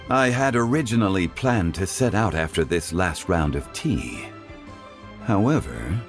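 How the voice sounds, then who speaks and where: Casting for a refined game gentleman: lowish voice, erudite, courteous, expressive melody, light music behind. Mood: composed. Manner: formal, eloquent, measured phrasing. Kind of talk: video game voice acting.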